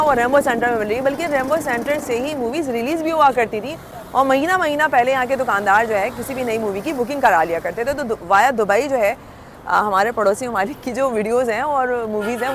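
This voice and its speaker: Pakistani woman vlogging on location, noisy surroundings. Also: a little high. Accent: Pakistani, Karachi. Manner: conversational, explains as she shows the place. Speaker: host and reporter. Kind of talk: casual on-location vlog narration and interview. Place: on location outside and inside a shopping centre. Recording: noisy location with traffic ambience.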